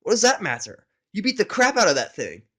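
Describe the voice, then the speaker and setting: A man voicing a brash, excitable young female pegasus pony in an audio drama, slangy, eager and exclamatory. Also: high voice, brisk, husky, pitched above the performer's own voice, heavily acted. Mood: indignant protest. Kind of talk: audio drama of fan fiction.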